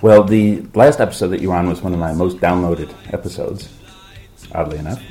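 A deep, mellow-toned man hosting a podcast, his voice roughened and gravelly from a cold. He chats easily with his co-host, laughs often and slips in small self-mocking jokes. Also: fairly quick, faint music behind. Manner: chatty, laughs often, light self-mockery. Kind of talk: casual chat.

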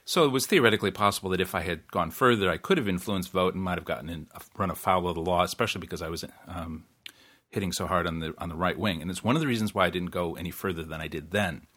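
A man's voice: deep voice, fast talker, fairly bright tone. A man hosting a podcast, talking casually with restarts and wry asides. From New Zealand. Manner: chatty, frequent restarts and self-corrections, wry laughs. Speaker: podcast host. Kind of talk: casual solo podcast monologue.

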